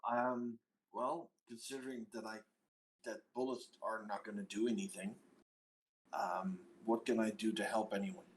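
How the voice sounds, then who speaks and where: Male tabletop player, somewhat low voice. Tentative, everyday conversational delivery. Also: level tone, moderate pace, poor audio. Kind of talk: casual chat during game play.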